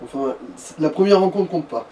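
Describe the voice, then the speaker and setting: A man's voice: on the high side, lively intonation, fairly quick, mellow. A man giving casual, explanatory gaming commentary in slangy speech. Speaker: let's play co-host. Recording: slightly distant, noisy amateur recording.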